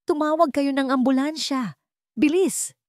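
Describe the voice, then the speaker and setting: A woman's voice: sits high, unhurried, darkish tone, no room sound, clean studio sound, pitched above the performer's own voice. A woman with a Filipino accent voicing a determined, protective young billionaire man in an urgent, commanding manner for a story.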